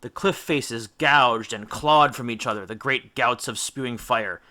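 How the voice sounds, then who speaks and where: Male audiobook reader: mid-pitched, bright, forceful, theatrical narration of an adventure tale. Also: unhurried. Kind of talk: dramatic scripted storybook narration.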